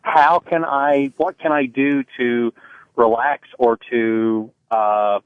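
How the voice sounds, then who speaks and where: A man's voice: mid-range voice, fairly even intonation, slow pace, smooth, dry recording. A man calling in to a talk show, speaking hesitantly with many fillers over a phone line. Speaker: caller. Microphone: telephone.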